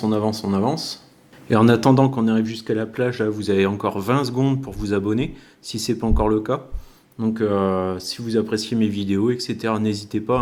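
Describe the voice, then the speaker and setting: A man's voice: fairly low pitch, a little mellow. A man talking casually to his viewers as he vlogs, chatty and informal, with wry joking remarks. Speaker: YouTube vlogger. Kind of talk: casual walk-and-talk vlog commentary.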